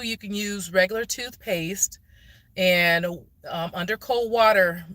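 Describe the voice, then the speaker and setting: A woman's voice: fairly low pitch, pushed and bright, no echo. A woman giving a casual, explanatory impromptu livestream chat, speaking directly to viewers on a phone in a parked car. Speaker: vlogger answering questions on a livestream. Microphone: phone, handheld or propped nearby.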